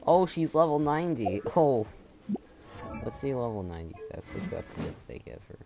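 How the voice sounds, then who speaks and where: A young man giving casual, halting gameplay commentary, muttering his reactions as he goes. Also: medium pitch, very animated, gravelly, soft background music. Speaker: gamer, channel host. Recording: loud game noise behind.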